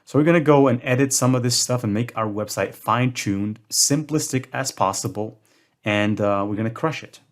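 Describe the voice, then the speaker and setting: A man's voice: medium pitch, normal speed. A young man from Morocco hosting a screen-recorded tutorial, talking in a casual way with self-deprecating jokes. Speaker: tutorial host.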